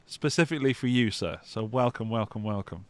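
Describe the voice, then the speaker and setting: A man's voice: lowish voice, expressive, fairly quick, no echo. A man from England with a Gloucestershire accent, hosting a live guitar podcast over a video call in a chatty, jokey way with many restarts. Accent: English, Gloucestershire. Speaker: podcast host. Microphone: studio microphone on a video call.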